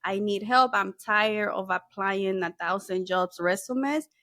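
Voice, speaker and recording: A woman's voice: mid-range voice, unhurried, fairly bright tone, no room sound. Woman, dry close sound. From El Salvador. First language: Spanish. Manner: friendly, mixes in Spanish words. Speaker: guest, SEO consultant. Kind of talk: casual interview talk.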